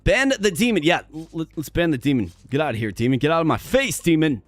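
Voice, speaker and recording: A man's voice: fairly high pitch, big pitch swings, fast talker, no echo, faint music behind. A man streaming a game, talking casually and upbeat to his viewers, with a strained, worn voice and an occasional stutter. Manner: upbeat, chatty, addresses viewers directly; stutters sometimes, repeats words. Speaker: gaming streamer. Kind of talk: casual live-stream commentary.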